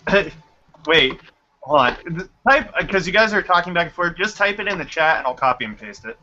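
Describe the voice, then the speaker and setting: A young man hosting a casual group voice chat, talking quickly and a little scattered while he works on his computer. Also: sits high, expressive, sharp tone. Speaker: stream host. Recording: lo-fi mic with faint noise.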